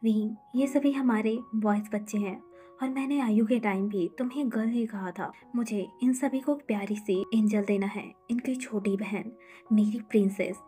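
Woman voicing a soft-spoken, doting husband. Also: mid-range voice, moderate pace, faint music behind, lightly acted. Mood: coaxing. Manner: soft, hushed pleading. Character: tender, doting rich young husband.

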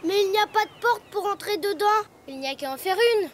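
A performer voicing a cheerful, eager little boy donkey in a children's cartoon, childlike and expressive. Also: extremely high pitch, fast talker, soft background music. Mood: proud, excited.

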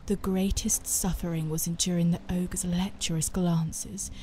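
A woman voicing a tall, flirtatious night elf noblewoman in a slow, breathy, coy manner. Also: fairly low pitch, flat delivery, dark tone, a little hiss or hum, natural voice, low-quality recording. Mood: coy, grateful.

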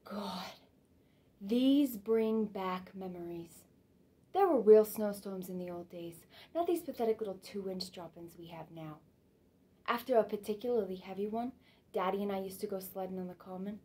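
A woman performing a stage monologue as a wistful older mother who reminisces romantically, in a dramatic way that moves from hushed to exclaiming. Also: mid-range voice, moderate pace, natural voice. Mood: nostalgic, rapturous.

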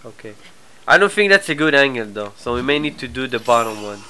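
A man's voice: mid-range voice, sing-song, a little fast, a little hiss or hum, poor audio. Stuffy-nosed man streaming a game. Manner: casual, muttering asides, trailing off quietly. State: stuffy nose from a cold. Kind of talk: live gameplay commentary.

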